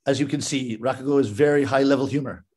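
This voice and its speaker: A man in his forties from Canada, a comic storyteller on a video call from his living room, enunciating clearly with a theatrical, joking delivery. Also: mid-range voice, fairly even intonation, fast, a little mellow. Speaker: rakugo storyteller. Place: living room at home, indoors. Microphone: webcam on a video call.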